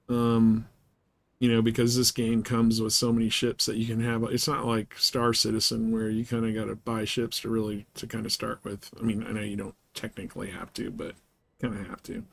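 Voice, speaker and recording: Casting: male game streamer, mid-pitched voice, easygoing and halting, casual chat with viewers, very dry sound. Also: vocal fry, fairly bright tone, dry recording. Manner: easygoing, halting, thinking aloud. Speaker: game livestream host. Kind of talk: casual livestream chat while gaming, answering viewers.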